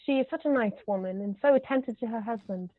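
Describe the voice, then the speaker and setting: Phone-like audio, woman acting an older aristocratic aunt, highish voice, imperious, stately, stage comedy. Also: fast talker, dry recording, natural voice. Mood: condescending. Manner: stately, haughty. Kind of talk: table read of a stage comedy. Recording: thin phone-like call audio.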